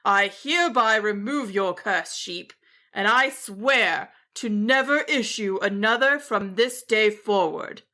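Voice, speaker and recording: A woman's voice: medium pitch, lively intonation, slow pace, bright, forceful tone. A woman voicing a grumpy, pompous old male wizard in a storybook, snarling and huffy.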